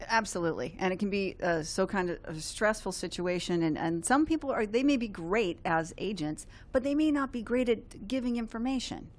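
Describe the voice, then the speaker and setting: A woman's voice: medium pitch, animated, a little fast, hardly any pauses. Playful woman radio host. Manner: playful, joking, laughs often. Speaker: real estate radio host. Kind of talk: casual radio chat. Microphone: studio microphone.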